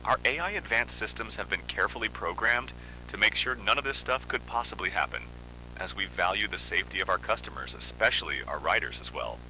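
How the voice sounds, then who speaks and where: Analog horror company spokesman, male, acted, low lively voice, brooding and halting, haunted by dread, faint hum behind. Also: exaggerated voice, poor audio. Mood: reassuring. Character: uneasy self-driving car company spokesman haunted by dread. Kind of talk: scripted analog horror monologue.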